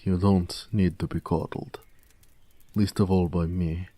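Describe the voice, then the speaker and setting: Male actor as a tender orc blacksmith, low dark voice, mellow, soft-spoken, wistful, romantic audio drama. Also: little pitch movement. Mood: conflicted, tender. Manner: soft, measured, tender delivery with hushed moments. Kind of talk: intimate romantic audio roleplay.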